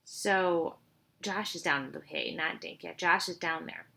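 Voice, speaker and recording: Lady reading a kids book out loud, lowish voice, expressive, chatty asides. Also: unhurried, gravelly. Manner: expressive, informal asides to listeners. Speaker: teacher reading aloud. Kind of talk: children's storybook read-aloud.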